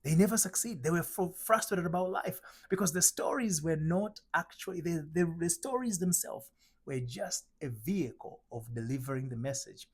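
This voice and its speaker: A middle-aged man from Tanzania giving a spiritual teaching talk, slow and emphatic, asking rhetorical questions. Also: a little high, animated, a little mellow, breathy edge. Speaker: identity transformation coach.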